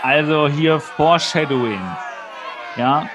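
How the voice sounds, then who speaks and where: A man's voice: on the high side, fairly even intonation, takes it slowly, crowd noise, noisy background. A man co-hosting a podcast, giving a casual, jokey recap with wry asides and bits of mimicry. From Hesse, Germany. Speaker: podcast co-host. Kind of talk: casual comedic episode recap.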